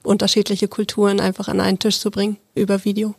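Woman from berlin, student guest, short answers, flat dry tone. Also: medium pitch, fast talker, creaky, slightly rough, no echo. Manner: brief, matter-of-fact.

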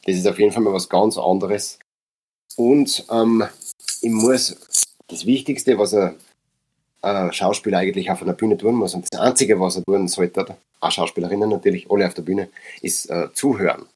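Husky Austrian man, low voice. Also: moderate pace, a little mellow. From Upper Austria. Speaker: actor and guest reader. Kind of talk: casual podcast conversation.

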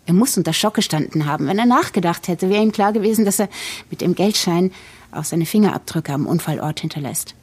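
A woman playing a cool, calculating wife in a German podcast drama, speaking in measured, polite and articulate phrases with practised sincerity. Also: lowish voice, husky. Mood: measured, reasoning. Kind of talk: German fiction podcast acting.

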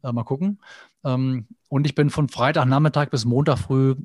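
Earnest, animated man on a dry mic. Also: medium pitch, moderate pace, no room sound. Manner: earnest, animated, acts out quoted inner voices. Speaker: podcast guest. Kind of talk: reflective conversational interview.

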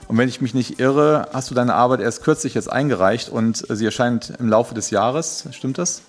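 A man's voice: mid-range voice, normal speed, over music. A man hosting a podcast interview, introducing guests with many hesitant fillers. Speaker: podcast host.

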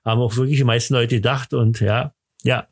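Man chatting haltingly, dry close sound. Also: medium pitch, steady tone, no room sound. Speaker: podcast host. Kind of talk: casual rambling chat.

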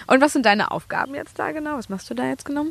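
A podcast host asking short, relaxed questions and murmuring agreement. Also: high-pitched, fast, gravelly, dry recording. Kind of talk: casual interview questions.

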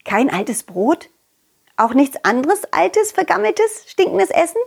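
A woman voicing a confident, loyal, cheerful dog who tells stories to children in an excitable, childlike way, as in an audio play. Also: extremely high pitch, slow, vocal fry, heavily acted. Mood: relieved, curious. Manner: excitable, childlike, speaking directly to child listeners. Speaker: puppeteer and storyteller.

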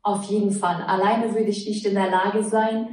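A woman's voice: medium pitch, little pitch movement, moderate pace, darkish tone, poor audio. A woman, a defense lawyer giving earnest, hesitant interview answers full of fillers. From Afghanistan.

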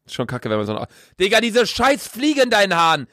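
A young man co-hosting a casual podcast, slangy and quick to laugh, rambling through his points. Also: sits high, wildly swooping pitch, pushed and bright, dry recording, clean studio sound. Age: young adult. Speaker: podcast co-host. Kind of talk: casual banter.

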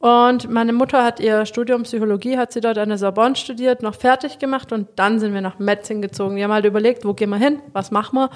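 A woman's voice: fairly high pitch, steady tone, fast, hardly any pauses. A woman in her thirties, guest in a podcast interview, telling her story in a chatty way and laughing often. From Germany. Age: 30s. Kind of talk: casual interview chat.

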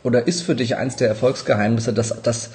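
A man's voice: medium pitch, steady tone, brisk, background noise. A man with a mid-range voice hosting a casual interview. He sounds eager and full of praise, tripping over and repeating words as he shapes his questions. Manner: enthusiastic, repeats words, stumbles. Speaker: interviewer, podcast host.

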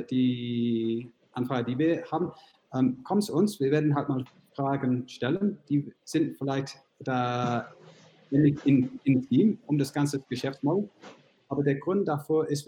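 British man speaking halting German. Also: medium pitch, dark tone, poor audio. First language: English. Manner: halting, searching for words, many fillers; non-native grammar slips. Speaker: founder of a financing startup, guest. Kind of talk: podcast interview.

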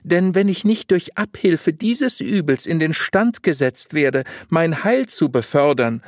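A pious, naive young wife from an old tale, acted by a male storyteller. The voice is pitched up high and swings expressively. It pleads earnestly in formal, old-fashioned phrases. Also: animated, unhurried, no room sound, studio quality. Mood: plaintive, pleading. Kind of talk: audiobook storytelling.

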